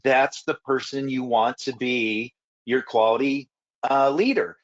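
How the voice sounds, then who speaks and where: Raspy man, recovering from a cold. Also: medium pitch, fairly even intonation, measured pace, dull audio. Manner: storytelling, repeats words when restarting. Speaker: food safety consultant and auditor. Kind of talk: panel discussion answers.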